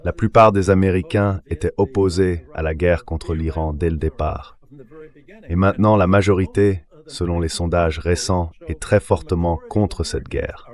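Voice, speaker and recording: A man's voice: low voice, steady tone, moderate pace, darkish tone. A synthetic male voice reading translated interview commentary, explaining things by posing questions and answering them. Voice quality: synthetic text-to-speech voice. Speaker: political and economic commentator, interview guest.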